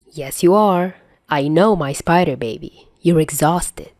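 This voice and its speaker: A fondly exasperated fatherly man read by a woman, teasing yet protective and soothing. The voice is mellow and dry, up close, as in an audiobook drama. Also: low-pitched, unhurried, dry recording. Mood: soothing, tender.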